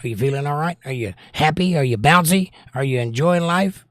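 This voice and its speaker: A slightly hoarse middle-aged man hosting a livestream, chatting casually and teasing his viewers as he reads their comments. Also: on the high side, lively intonation, brisk, a bit bright, no echo, studio quality. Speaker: livestream host. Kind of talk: casual chat reading viewer comments aloud.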